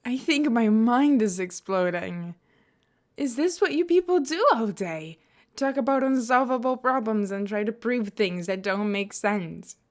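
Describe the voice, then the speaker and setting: A woman voicing a playful, excitable young male college student in a story, animated and mock-indignant. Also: fairly high pitch, unhurried. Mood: indignant, complaining.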